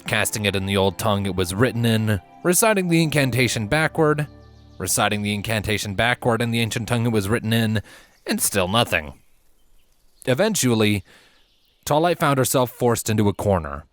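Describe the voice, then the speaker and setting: Male narrator for fantasy fiction: lowish voice, very lively intonation, dramatic delivery, light music bed. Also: fairly quick. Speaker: story narrator. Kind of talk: scripted fantasy storybook narration.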